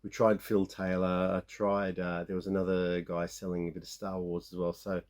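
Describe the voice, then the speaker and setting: A man in his fifties with a croaky sore-throat voice, rambling casually as he shows off his toy finds. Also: sits low, level tone, moderate pace. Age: 50s. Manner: rambling, many restarts and fillers. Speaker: toy collecting vlogger. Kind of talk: casual show-and-tell of toy haul.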